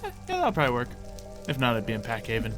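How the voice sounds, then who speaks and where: Man chatting casually over loud music. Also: medium pitch, huge pitch swings, racing. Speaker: gaming video creator. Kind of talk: casual improvised Minecraft roleplay commentary. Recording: music under the voice. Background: game music.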